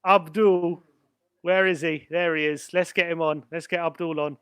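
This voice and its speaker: A man's voice: fairly high pitch, fairly even intonation, normal speed, a bit bright, smooth, no echo, studio quality. A man hosting a fan phone-in, upbeat and chummy, laughing often. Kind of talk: casual fan chat.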